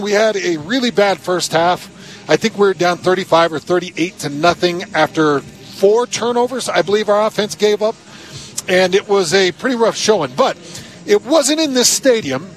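A man's voice: sits high, animated, moderate pace, rough recording. A man co-hosting sports radio from a stadium booth, talking in an informal, effusive, chatty way. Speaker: sports radio co-host, former player. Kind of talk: casual sports commentary chat.

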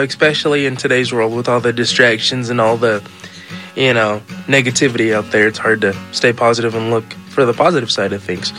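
A man in an interview, telling his own story in a relaxed, casual way with many fillers. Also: mid-range voice. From United States.

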